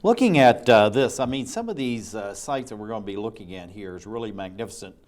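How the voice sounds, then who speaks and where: A man's voice: fairly low pitch, animated, fairly quick, a little mellow. A man hosting an interview show, asking long rambling and enthusiastic questions. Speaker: talk show host.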